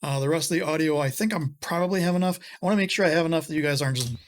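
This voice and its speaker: A middle-aged man hosting a casual home-studio tutorial, rambling and self-deprecating, with lots of fillers and a voice he says has gotten higher. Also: very fast, slight background noise. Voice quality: higher voice than it used to be. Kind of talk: casual screen-recorded audio tutorial. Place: small home room with guitars.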